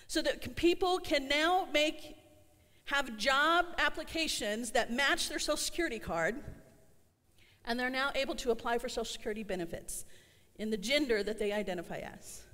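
A middle-aged woman pastor delivering an earnest sermon, slowing down to stress her refrains, recorded in a church sanctuary. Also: high voice, a bit bright. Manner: earnest, slows for emphasis on refrains. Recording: long church hall reverb.

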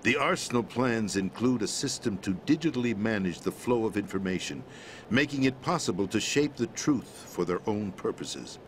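An actor playing a middle-aged president in a video game, explaining a conspiracy in a measured, grave manner. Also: male voice, fairly low pitch, expressive, a little hiss or hum, lightly acted. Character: calm, grave middle-aged man, president briefing via codec. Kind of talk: video game cutscene voice acting.

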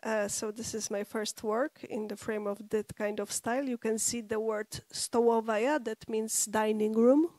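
A woman with a somewhat high voice interprets live into English at a gallery roundtable. She is brisk and practical. The sound is close and dry. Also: fairly even intonation, a little mellow, dry recording.

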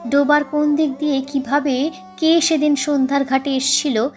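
High-voiced woman narrating a story. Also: level tone, moderate pace, soft background music. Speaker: audiobook narrator. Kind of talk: dramatic scripted story narration.

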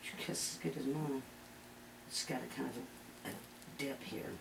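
Low, gravelly woman in echoey room. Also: steady tone, moderate pace. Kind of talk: casual chat while working. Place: indoor kitchen. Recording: noisy, echoey kitchen, distant camera mic.

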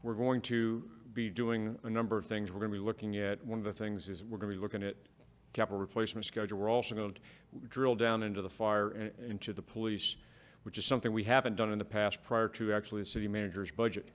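Measured man at a council meeting. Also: fairly low pitch, level tone. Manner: measured, with frequent fillers and restarts. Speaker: city staff presenting to council. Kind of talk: public meeting discussion. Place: council chamber.

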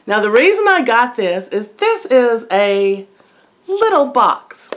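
A middle-aged woman with a stuffy head cold chatting through a shopping haul in her living room, unhurried and full of asides. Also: fairly high pitch. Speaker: haul vlogger. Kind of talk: casual show-and-tell chat. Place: living room by a brick fireplace, indoors.

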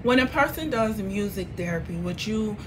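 A woman's voice: medium pitch, lively intonation, moderate pace. Woman interviewer over background noise. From South Florida. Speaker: interviewer, host. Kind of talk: casual interview questioning.

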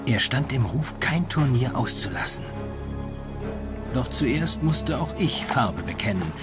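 Proud landless knight: medium register, solemn storyteller for a historical docudrama, prominent music underneath. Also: male voice, mid-range voice, sing-song, a little slow. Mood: solemn, reminiscing. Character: proud landless medieval knight recounting his adventures. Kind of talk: dramatised first-person storytelling. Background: loud music.

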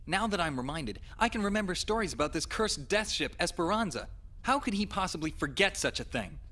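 Lively-pitched, uneasy space cadet. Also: male voice, fairly quick, heavily acted. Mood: grave. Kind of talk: anime dub acting. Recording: music behind the dialogue.

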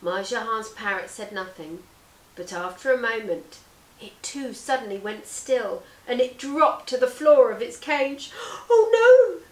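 Female storyteller, thirties, high voice, teacherly and expressive read-aloud for children, echoey living room. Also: unhurried, far from the mic. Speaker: teacher reading a picture book aloud. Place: living room sofa, indoors. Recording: roomy indoor echo.

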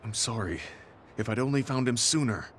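A man's voice: mid-range voice, animated, fairly quick, a little hiss or hum, lightly acted. An actor playing a stern, earnest, middle-aged male soldier in a game cutscene, speaking seriously and bluntly. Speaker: game character.

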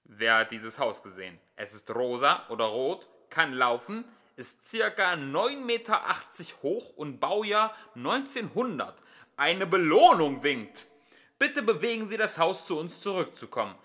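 A man's voice: on the high side, big pitch swings, a little slow, sharp tone, voice pushed up, exaggerated voice. A man voicing a formal announcer of public notices in a children's audiobook, declaiming emphatically. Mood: solemn appeal.

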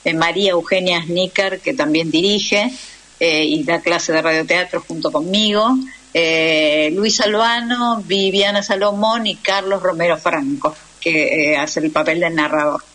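A woman's voice: on the low side, fairly even intonation, a little slow, fairly bright tone. A middle-aged woman with an Argentine accent, speaking conversationally as a guest on a radio show. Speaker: guest actress and radio drama teacher.

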